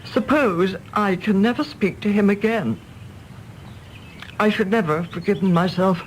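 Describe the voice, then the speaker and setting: A woman's voice: mid-range voice, normal speed, a little hiss or hum, lightly acted, rough recording. An actress playing an anxious, domineering older English mother in a radio drama, querulous and imperious. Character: anxious, domineering, self-pitying mother of a grown son. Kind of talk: British radio drama acting.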